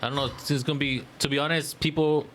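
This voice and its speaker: A man's voice: on the high side, flat delivery, quick pace, a bit bright, a little hiss or hum. A man co-hosting a podcast in casual, slangy conversation peppered with "like". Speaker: podcast co-host.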